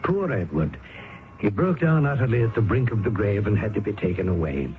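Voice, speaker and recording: An actor playing an older English colleague who narrates the story in a measured, soothing way. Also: male voice, on the low side, very animated, slight background noise. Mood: calm, somber. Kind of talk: storytelling narration in radio drama. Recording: old radio broadcast, phone-like band with music.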